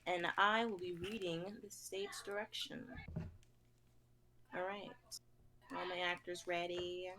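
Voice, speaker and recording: A woman's voice: lowish voice, steady tone, unhurried, sharp tone, slight background noise. A woman in her twenties reading stage directions and introducing a script as a performer on a group stream. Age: 20s. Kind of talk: scripted narration and casual chat.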